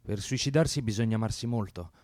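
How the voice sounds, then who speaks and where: An actor playing a harsh, bitter revolutionary, blunt and confrontational, in a radio drama. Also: male voice, lowish voice, fast talker, a little mellow, no echo, studio quality, lightly acted. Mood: scornful, harsh. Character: harsh, bitter male revolutionary driven by hatred. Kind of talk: theatrical radio drama acting.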